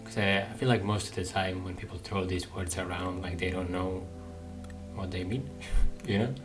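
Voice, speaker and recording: A man's voice: low-pitched, steady tone, normal speed. A man giving casual playthrough commentary, thinking aloud in a hesitant, musing way. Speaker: gaming video host. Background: game music.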